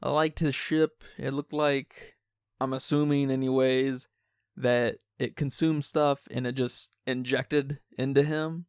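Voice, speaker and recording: A man's voice: medium pitch, little pitch movement, slow, clean tone, dry recording. A man co-hosting a podcast, casually giving his opinions on films with dry humour. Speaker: podcast co-host. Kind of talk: casual film discussion.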